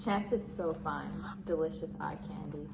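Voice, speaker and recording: A woman's voice: sits low, unhurried. A woman playing a mean, scheming, snobbish teenage cheerleader with a catty, scornful manner in amateur drama. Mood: swooning. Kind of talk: amateur dramatic monologue reading. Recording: echoey room with clear noise.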